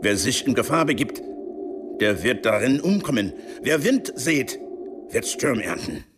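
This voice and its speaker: An actor playing a cynical, worldly embassy man in a radio drama, lecturing in a measured, patronising way with veiled menace. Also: big pitch swings. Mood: cold warning. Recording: noisy ambience with vehicle rumble.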